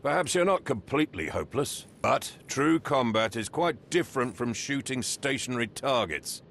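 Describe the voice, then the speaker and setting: Gravelly drill sergeant. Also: male voice, medium pitch, lively intonation, normal speed, a bit bright, slight background noise. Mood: stern. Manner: scornful, commanding. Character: gruff stern drill sergeant, older man. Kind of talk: video game voice acting.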